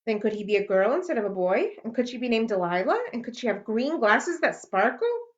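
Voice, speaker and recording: A woman's voice: fairly high pitch, big pitch swings. A woman reading aloud and voicing a spirited, curious young girl who peppers grown-ups with eager questions. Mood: eager questioning. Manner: eager, quick, full of questions. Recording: dull lo-fi recording.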